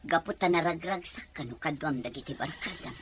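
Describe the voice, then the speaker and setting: A woman's voice: low-pitched, a little fast, noisy background, natural voice. A woman playing a hurt but caring wife and mother in an emotional audio drama. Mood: reproachful, caring.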